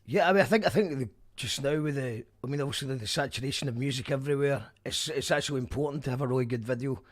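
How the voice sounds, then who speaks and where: A man's voice: mid-range voice, racing. A man hosting a radio interview, chatty and reading out listener messages. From Scotland. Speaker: radio host. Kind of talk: casual interview chat.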